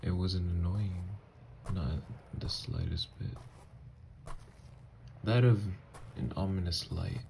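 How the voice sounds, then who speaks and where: A man playing a gentle, haunted, newly-turned vampire lover in an ASMR audio drama, speaking in hushed, halting, hesitant phrases. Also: low voice, steady tone, vocal fry, slight background noise, full character voice. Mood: earnest, haunted.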